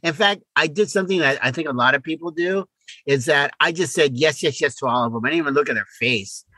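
A man with a bright, punchy voice, pitched a little high, talks as a podcast co-host. He chats casually and bluntly, with an animated, joking manner. The sound is close and dry. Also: fast, dry recording, poor audio. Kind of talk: casual conversational chat.

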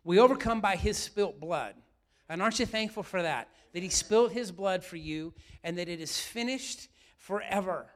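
Brief: middle-aged male pastor, high voice, slightly raspy, lively sermon that keeps asking questions. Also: normal speed. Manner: lively, asks the room questions often.

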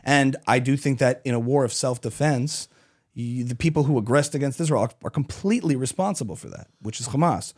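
A man in an opinionated, animated conversation, arguing emphatically and piling clause upon clause. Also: mid-range voice, fairly quick. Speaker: podcast guest.

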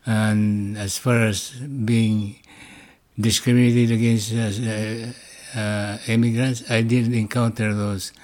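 A man in his eighties from the Philippines with a Filipino accent, reminiscing casually and pausing to search for words. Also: lowish voice, flat delivery, a little mellow. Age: 80s. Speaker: interview guest, grandfather.

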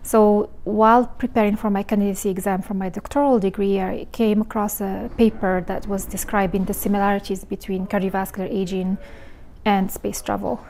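A woman's voice: mid-range voice, little pitch movement, fairly quick, darkish tone, a little hiss or hum. A woman from Morocco, a clinical scientist, patiently explaining her research in an interview. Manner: patient and explanatory, with "you know" fillers.

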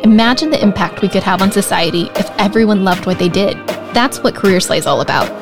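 A woman hosting a career podcast, her voice sitting in the middle of the female range. She reads a bright scripted opening, then slips into easy, friendly questions. Faint music plays behind her. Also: mid-range voice, expressive, quick pace. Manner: upbeat scripted intro, then casual questions. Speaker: podcast host. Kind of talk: interview podcast.

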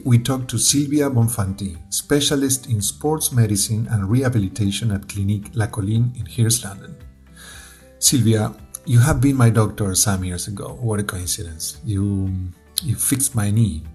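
Measured, formal interviewer over music. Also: mid-range voice, darkish tone. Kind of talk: prepared interview presentation and questions.

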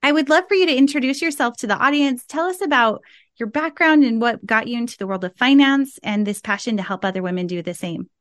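A woman's voice: on the high side, quick pace. A woman hosting a podcast interview, upbeat and encouraging as she introduces her guest. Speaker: podcast host.

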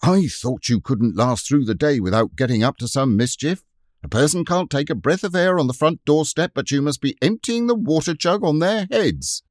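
A man voicing an angry housemaid scolding the children in a shrill, sharp voice. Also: no echo, clean studio sound.

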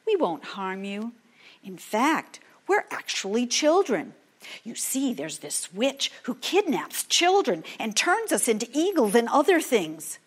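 Woman voicing an earnest enchanted child-eagle, high-pitched. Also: big pitch swings, measured pace, breathy edge, heavily acted. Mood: apologetic, reassuring. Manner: earnest, explaining. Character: bewitched child turned eagle, anxious and helpful. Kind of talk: oral folktale storytelling.

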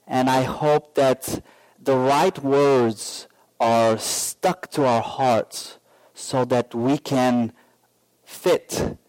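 A pastor preaching a sermon, telling a personal story in a slow, earnest way with many pauses and fillers. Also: male voice, medium pitch, steady tone, a little mellow, low-quality recording.

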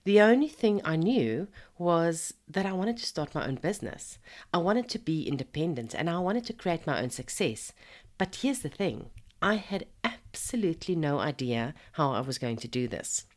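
A woman in her fifties who is hoarse from a cold, hosting a podcast in a chatty, encouraging way that speaks to the listener as a friend. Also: low voice, very animated, moderate pace. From Zimbabwe. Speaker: podcast host. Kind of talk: casual motivational podcast monologue.

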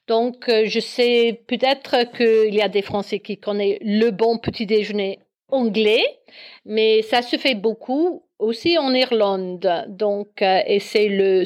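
Hesitant woman in her sixties. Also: a little high. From Northern Ireland. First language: English. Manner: hesitant, searches for words, many fillers. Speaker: guest, English teacher. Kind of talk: casual interview.

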